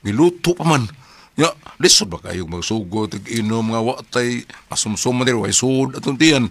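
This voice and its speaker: A man's voice: mid-range voice, expressive, normal speed, a bit bright. A man playing a tipsy, blustering husband who hosts his drinking buddies in a comedy skit, repeating himself in a Cebuano Filipino accent. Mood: explaining. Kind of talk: casual comedic skit banter.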